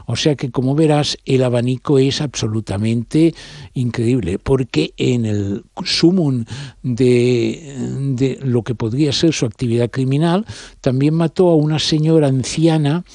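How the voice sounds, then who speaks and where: A man's voice: medium pitch, a little slow, darkish tone, no room sound. Spanish man explaining in an interview, dry sound. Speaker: documentary director interviewed as guest. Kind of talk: unscripted interview account.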